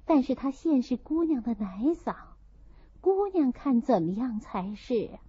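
A woman's voice: high voice, sing-song, unhurried, dark tone, breathy edge, dry recording, exaggerated voice. A woman voicing a dutiful, tactful young maidservant in an audiobook, deferential yet firm. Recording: dull lo-fi dry recording.

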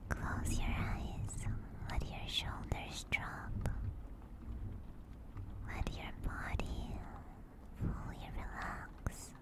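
A woman streaming ASMR in a soft, breathy whisper, gently and soothingly guiding and chatting close to a binaural microphone. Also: very slow, long pauses. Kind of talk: whispered ASMR guided meditation and chat. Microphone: close binaural ear microphone.

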